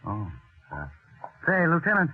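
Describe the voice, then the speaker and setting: Husky, animated radio policeman. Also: fairly high pitch, measured pace, heavily acted. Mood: businesslike. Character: inquiring, dutiful male desk policeman. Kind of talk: 1940s radio drama acting. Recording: narrow-band old radio recording.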